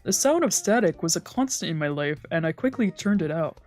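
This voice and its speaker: A woman streamer reading a visual novel aloud in expressive, dramatic narration with casual asides. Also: lowish voice, brisk. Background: game background music.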